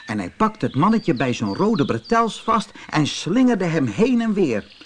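A male storyteller reads a children's fairy tale with expressive drama. His voice is fairly high and very lively in pitch, and there is faint noise in the recording. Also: unhurried. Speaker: audiobook storyteller.

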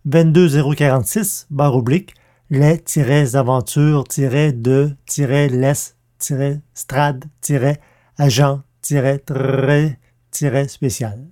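A man's voice: a little high, a little mellow. A man presenting and interviewing on a podcast in a scripted announcing style.

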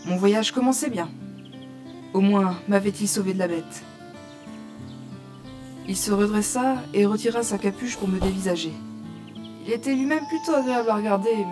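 Woman acting expressive young adventurer heroine, music behind. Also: mid-range voice, close to an everyday voice. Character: curious, teasing young woman gamer, a novice ranger, narrating her adventure. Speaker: narrator and lead character. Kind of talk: first-person audio drama narration with dialogue. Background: prominent music.

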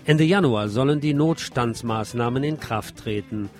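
A man's voice: mid-range voice, moderate pace. Male news presenter over prominent music. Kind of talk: scripted news narration.

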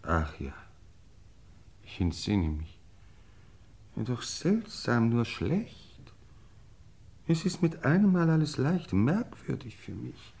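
A muddled aristocrat in an old radio crime play. His gravelly voice drags and falters, then swings widely with theatrical melodrama. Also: mid-range voice, big pitch swings, slow pace, long pauses, a little mellow, exaggerated voice. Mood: dazed, woozy. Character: muddled, woozy English lord, melodramatic when cornered.

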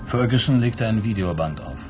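A man's voice: fairly low pitch, lively intonation, normal speed, close to an everyday voice. A man narrating a scripted 1970s radio drama, describing each scene in a detached way. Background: music.

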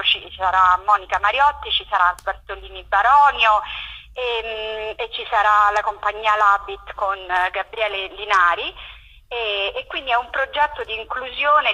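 A woman's voice: on the high side, pushed and bright, slightly rough, poor audio. A woman explains things informally as a radio interview guest, searching for her words as she talks over the telephone. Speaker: interview guest, theatre project organiser.

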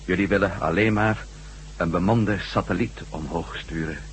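Male actor as captured ex-astronaut, radio play, deep voice, subdued, compliant, terse, faintly noisy recording. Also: moderate pace, lightly acted. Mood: subdued, matter-of-fact. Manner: flat, compliant, terse answers. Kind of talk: Dutch radio drama acting.

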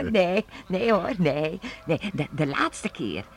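A woman playing a giggly old village woman in a Dutch radio play, halting and repeating herself in a creaky voice. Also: lowish voice, very animated, exaggerated voice. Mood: chatty, amused. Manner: halting, repeats words, chuckles often. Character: giggly, chatty old village woman, well over seventy. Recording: faintly noisy old radio recording.

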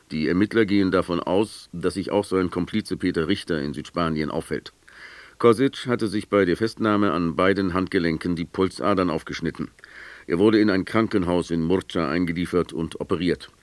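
A man reading scripted announcements and news on the radio in a formal, measured, factual manner. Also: sits low, no echo. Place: dry radio studio.

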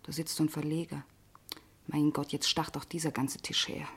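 An actress in a radio play voicing a worldly, ironic young city woman with a breathy, husky voice. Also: low-pitched, level tone, moderate pace, heavily acted. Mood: anxious, hushed. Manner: ironic, often hushed and breathy. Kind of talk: radio play acting in German.

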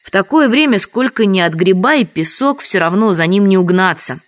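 An actress playing a lonely, resigned village widow in an audio drama, speaking plainly and matter-of-factly. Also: female voice, mid-range voice, lively intonation, normal speed, close to an everyday voice. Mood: resigned, sorrowful. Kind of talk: Russian audio drama acting.

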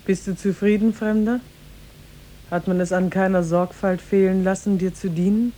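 An actress playing a young woman who rules disguised as a king, formal and measured, in a 1950s German radio play. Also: steady tone, dark tone, close to an everyday voice, low-quality recording. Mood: probing, teasing. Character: young woman ruling disguised as a king, regal, secretly tender. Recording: 1950s radio recording with faint rumble.

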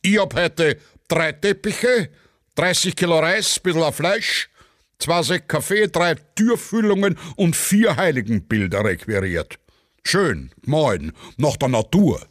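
A man's voice: on the high side, expressive, measured pace, a bit bright, dry recording, clean studio sound, voice pushed up. A man playing a greedy Austrian general in a satirical radio play, grumbling and self-satisfied in colloquial speech.

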